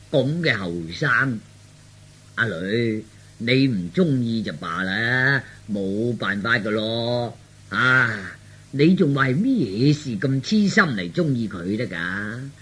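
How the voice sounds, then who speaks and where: Man acting a hearty, husky-voiced boastful old dad. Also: mid-range voice, sharp tone, heavily acted. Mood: indignant. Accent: Cantonese. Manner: hearty, exclamatory, tipsy banter. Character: boastful, hard-drinking middle-aged father, doting on his daughter. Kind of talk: Cantonese storytelling radio drama.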